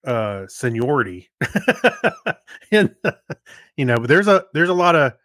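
A middle-aged man chats as a podcast co-host in a mid-range voice. He speaks in a casual, halting ramble, recorded dry with very little room sound. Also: mid-range voice, very animated, fairly bright tone, dry recording. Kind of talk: casual chat.

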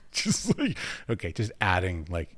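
A man hosting an interview, casual and chatty, quick to joke and react. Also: low voice, huge pitch swings, a bit bright. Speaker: interviewer host. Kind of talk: interview conversation.

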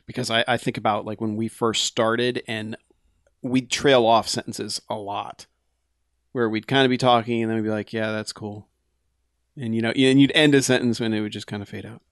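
A man hosting a casual podcast, reading out listener mail and giving relaxed advice with frequent laughs. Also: lowish voice, no room sound, studio quality. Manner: relaxed, laughs often, slows down when reading emails.

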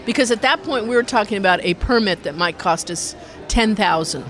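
A community radio organizer being interviewed in a casual way, explaining things in an animated, rambling manner. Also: mid-range voice, fairly quick, soft background music. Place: inside a brewery restaurant.

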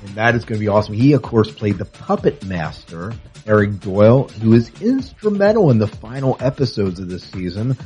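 A man's voice: lowish voice, very animated, brisk, few pauses, warm tone, faint music behind. A man hosting a podcast in a casual, conversational interview style. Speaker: podcast host.

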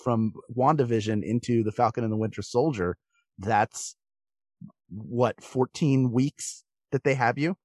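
A man's voice: mid-range voice, measured pace, darkish tone, dry recording. A man talking casually as a guest on a podcast.

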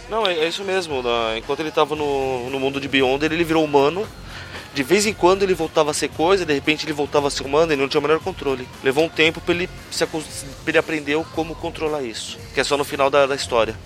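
A man's voice: fairly high pitch, rapid-fire, soft background music. A Brazilian man co-hosting a podcast, casually correcting details about the original story. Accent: Brazilian Portuguese. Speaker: podcast co-host. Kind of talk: casual comic review chat.

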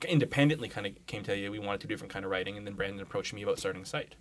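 A man's voice: lowish voice, racing. A man chatting casually as a podcast guest, telling stories in a rambling way full of "like"s. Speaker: podcast guest, comics blogger.

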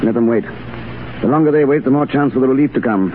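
An actor playing a steely, fatalistic Foreign Legion sergeant in a 1930s radio drama, cool and commanding, with clipped speech. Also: male voice, mid-range voice, quick pace, phone-quality sound, lightly acted. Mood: stern, controlled. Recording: thin, hissy 1930s radio recording.

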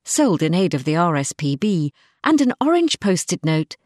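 A woman reading a scripted, diary-style short story in an expressive manner. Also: fairly low pitch, moderate pace, no room sound, clean studio sound. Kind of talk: scripted first-person story narration, diary-like.

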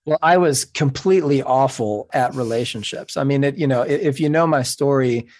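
A middle-aged man whose first language is Spanish, speaking as a podcast guest in an earnest, measured, explanatory way. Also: medium pitch, a little mellow. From New York City. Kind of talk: reflective interview talk.

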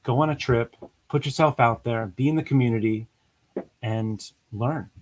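A man's voice: mid-range voice, normal speed, a little mellow, poor audio. A man in the middle of his range answers a podcast host's questions. He speaks in short, emphatic, direct sentences. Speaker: podcast guest. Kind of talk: casual interview chat.

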